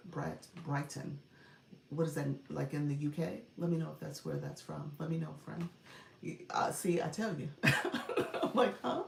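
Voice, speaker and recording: Low gravelly-voiced woman live streaming. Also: echoey room, slight background noise. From Florida, USA. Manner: chatty, greets viewers, laughs often. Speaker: live stream host and coach. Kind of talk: casual live stream chat with viewers.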